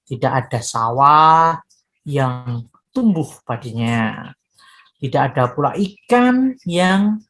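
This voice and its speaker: A woman storyteller narrating expressively for children, with dramatic phrasing and direct address to her young listeners. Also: low voice.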